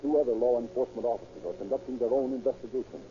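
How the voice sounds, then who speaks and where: A man's voice: mid-range voice, racing, warm tone, natural voice. A man narrating an old-time radio mystery drama as a solemn, atmospheric storyteller. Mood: measured. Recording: muffled, noisy vintage radio recording.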